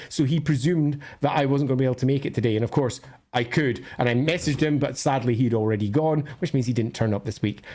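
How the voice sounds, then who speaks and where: A man's voice: on the high side, darkish tone. A Scottish man hosting a casual livestream, thinking aloud as he answers questions in a discursive, opinionated way with colloquial asides. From northern Scotland.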